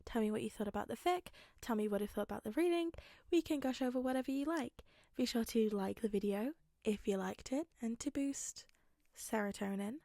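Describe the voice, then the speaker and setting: High-pitched woman, fiction reader. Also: dark tone, dry recording, clean studio sound. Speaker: podfic reader and channel host. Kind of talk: expressive fiction narration and casual chat to listeners.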